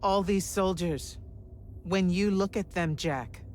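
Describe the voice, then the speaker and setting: An actress playing a stern, exasperated army general in a game cutscene, authoritative and sardonic. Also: female voice, fairly low pitch, slow, a little mellow, a little hiss or hum. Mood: grave. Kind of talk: dramatic video game cutscene acting.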